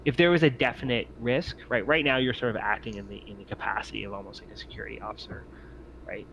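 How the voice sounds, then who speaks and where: A man's voice: fairly low pitch, fairly even intonation, fast, vocal fry, a bit bright. Male game master, informal and hedging, casual tabletop chat, dull muffled sound with background noise. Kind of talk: casual talk running a tabletop RPG session.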